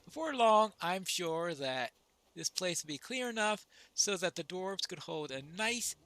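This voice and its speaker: A man's voice: high-pitched, very animated, a little slow, sharp tone. A man narrating his video game playthrough in a casual, wry, musing way. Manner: wry, musing, mock-polite.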